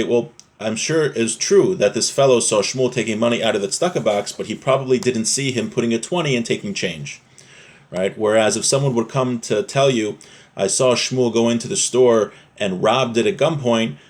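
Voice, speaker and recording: A man giving an informal religious lesson, reading from a text and explaining it plainly as a teacher. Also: fairly low pitch. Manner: explanatory, restating points in plain words.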